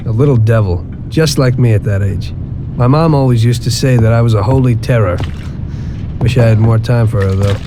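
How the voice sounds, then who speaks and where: A man's voice: medium pitch, brisk, very noisy, lightly acted. A man playing an easygoing mobster and fond father in a video game. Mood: fond, wistful.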